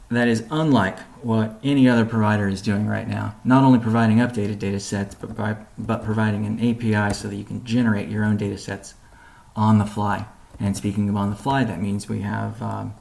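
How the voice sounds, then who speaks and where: Low, gravelly man presenting on a business call. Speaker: company spokesman presenting an update.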